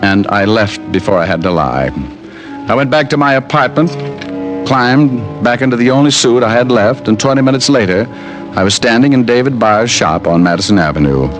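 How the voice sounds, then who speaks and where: A man's voice: mid-range voice, animated, normal speed, over music, close to an everyday voice. An American man playing a wry, hard-boiled private detective who narrates his own case in an old radio drama. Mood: flippant. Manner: wry, sardonic, flippant. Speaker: lead actor and narrator. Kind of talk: 1940s radio detective acting, first-person narration.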